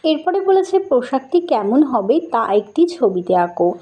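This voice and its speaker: A woman with a high-pitched voice teaches a school lesson. She reads each question and its answer in an instructional manner, speaking directly to her pupils. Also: sing-song, moderate pace, mellow.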